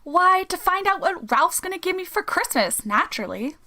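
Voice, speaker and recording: A woman's voice: very high voice, very animated, normal speed, pushed and bright, exaggerated voice. A woman playing a gushing, self-important teenage daughter in a vintage-style radio sitcom. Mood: matter-of-fact, breezy. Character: breezy, boy-crazy teenage daughter.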